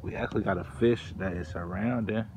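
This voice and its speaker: Brief: young male vlogger, joking chatty commentary, outdoor phone audio with steady background noise. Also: fairly low pitch, a little fast. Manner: playful, teasing, laughs often. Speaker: vlogger filming family. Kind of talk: casual running commentary to viewers. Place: outdoors on a wooden pier by a pond. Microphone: phone camera held in hand.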